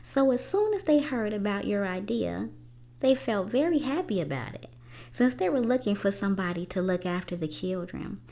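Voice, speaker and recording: Woman reading as a kindly storybook father, animated intonation. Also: mid-range voice, normal speed, creaky. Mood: reassuring.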